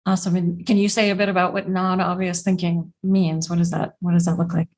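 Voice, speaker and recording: A woman's voice: on the low side, flat delivery, fairly quick, a little mellow. A woman hosting an interview podcast, upbeat and casual, with frequent fillers. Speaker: podcast host.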